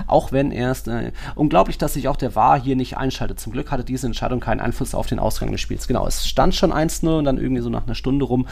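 A man with a creaky, gravelly edge to a medium-pitched voice. He talks as a podcast co-host, casually commenting on sport. His telling is colloquial and loosely strung together. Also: a little mellow.

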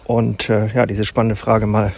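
A man hosting his own podcast, talking reflectively while walking, unhurried and thoughtful with pauses and fillers. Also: fairly low pitch, completely flat delivery, vocal fry, darkish tone. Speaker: podcast host. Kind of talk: reflective solo podcast monologue. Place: outdoors in a forest. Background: faint forest ambience and animal sounds.

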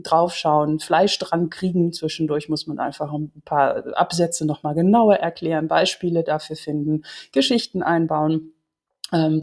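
Female business coach, dark relaxed tone, conversational podcast delivery. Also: fairly low pitch. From Netherlands. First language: Dutch. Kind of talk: podcast tip monologue, conversational.

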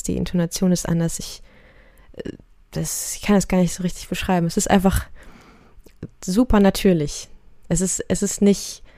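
Gravelly low-toned woman musician. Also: mellow, dry recording, clean studio sound. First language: Russian. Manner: hesitant, many fillers and restarts. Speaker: musician guest. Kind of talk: casual interview talk.

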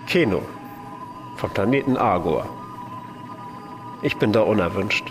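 A man's voice: fairly low pitch, expressive, unhurried, music in the background. A man playing a jittery, fatalistic alien spaceship pilot in a science fiction audio drama. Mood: dry.

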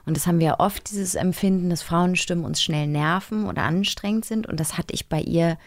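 A woman's voice: lowish voice, fairly quick, no room sound, clean studio sound. A woman co-hosting an interview in casual conversation. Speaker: interview co-host. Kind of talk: casual chat.

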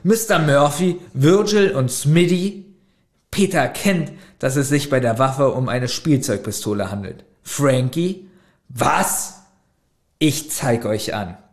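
A man's voice: medium pitch, animated, slow. A man co-hosting a podcast in casual chat, keeps asking his partner questions and reads plot summaries aloud. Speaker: podcast co-host.

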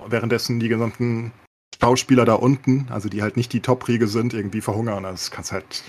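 A man's voice: medium pitch, little pitch movement, fast, a little mellow. A man in a casual podcast discussion, talking colloquially and opinionatedly with English words mixed in. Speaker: podcast co-host.

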